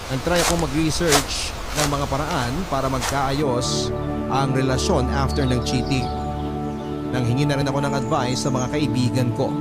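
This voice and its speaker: A man reading a listener's story aloud as dramatic scripted narration. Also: mid-range voice. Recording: loud music under the voice.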